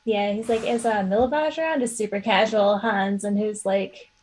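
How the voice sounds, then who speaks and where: A woman playing a tabletop roleplaying game, chatting informally and haltingly as she thinks aloud. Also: medium pitch, steady tone, darkish tone, rough recording. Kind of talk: casual chat during a tabletop game.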